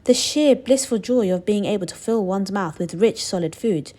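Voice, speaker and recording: Woman narrator, children's read-aloud, expressive, deliberate, mid-pitched, very varied intonation. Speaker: storyteller.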